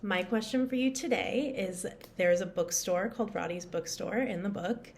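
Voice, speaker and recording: A woman with a mid-range voice asks a trivia question from her book. Her delivery is bright and friendly. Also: mid-range voice, normal speed, slight background noise. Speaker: author. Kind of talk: casual trivia presentation.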